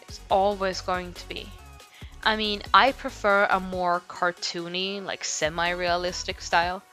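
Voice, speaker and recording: Young woman rambling about art, bright tense voice, music playing underneath. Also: fairly low pitch. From Sweden. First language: Swedish. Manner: rambling, frequent "like" fillers, self-laughing asides. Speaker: art vlogger. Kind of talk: casual talk to camera. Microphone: home microphone. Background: background music.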